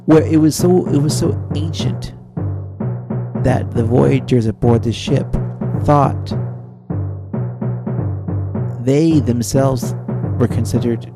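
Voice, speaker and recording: Salty old sea captain played by a man: slow, creaky, very mellow low-lit timbre, rambling radio-adventure storyteller, soft music underneath. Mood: awed, dramatic. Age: older. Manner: halting, rambling, dramatic pauses and repetitions. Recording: voice over easy listening music. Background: easy listening soundtrack.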